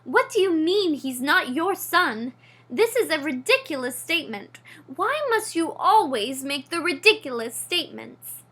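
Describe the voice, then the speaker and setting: A woman's voice: high voice, animated, slow pace, bright, forceful tone, exaggerated voice. A woman reading aloud, voicing a vain, dismissive mother mouse with a put-on French accent and a theatrical, scornful manner. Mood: indignant. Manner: scornful, theatrical, eye-rolling.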